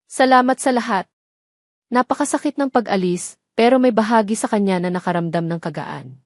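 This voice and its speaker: Female storyteller for scripted romantic drama narration. Medium pitch, dry sound with no room. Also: a little mellow, no echo.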